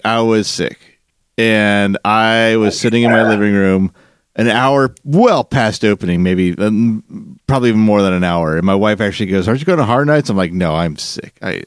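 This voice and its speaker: A man co-hosting a podcast, telling a long story in a rambling, animated way with restarts and a slightly nasal voice. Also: lowish voice, moderate pace, smooth, no room sound, studio quality. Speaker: podcast co-host. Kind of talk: casual storytelling chat.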